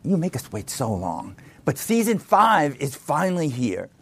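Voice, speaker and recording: A man's voice: fairly high pitch, huge pitch swings, unhurried, fairly bright tone, husky. A man from the United States hosting an interview podcast, teasing his guest playfully. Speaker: podcast host, film critic. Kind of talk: casual interview chat.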